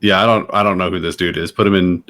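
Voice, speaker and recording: Man, deep-pitched voice, podcast panelist, blunt, casual chat. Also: fairly even intonation, fast, pushed and bright.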